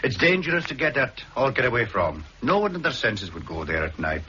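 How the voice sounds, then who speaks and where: A man with a Scottish Highland accent playing a plain-spoken island boatman in a 1960s radio drama, giving practical warnings in a measured, matter-of-fact way. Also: sing-song, bright, forceful tone. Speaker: local boatman and guide. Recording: dull archive radio recording with faint hiss.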